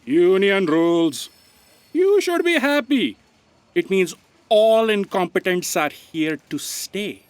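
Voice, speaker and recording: A woman's voice: medium pitch, very animated, slow, slight background noise. A woman playing a cynical, long-suffering copy aide with a dry, sardonic manner in a scripted comedy audio drama.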